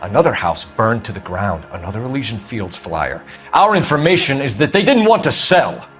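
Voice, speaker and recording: An actor playing an earnest, stern young police detective in a 1940s crime game, formal and pressing. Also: male voice, mid-range voice, sing-song, normal speed, a bit bright, faint music behind. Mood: accusing. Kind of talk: dramatic video game acting.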